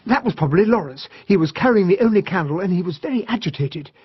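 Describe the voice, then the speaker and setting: An actor playing an earnest, puzzled English gentleman officer, the detective's companion, with a British accent and hesitant delivery in a radio drama. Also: high voice, lively intonation, fast, husky, dry recording, muffled recording.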